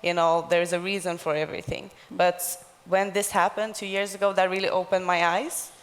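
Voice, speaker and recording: A woman's voice: fairly low pitch, fairly even intonation, moderate pace. A woman in her twenties from Sweden, giving a personal account as a panelist. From Gothenburg, Sweden. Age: 20s. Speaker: panelist, activist. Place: large conference hall stage. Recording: reverberant conference hall.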